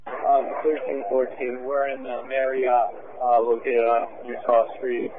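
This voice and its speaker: A male police officer replying haltingly over a two-way radio. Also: mid-range voice, flat delivery. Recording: tinny police scanner, faint noise.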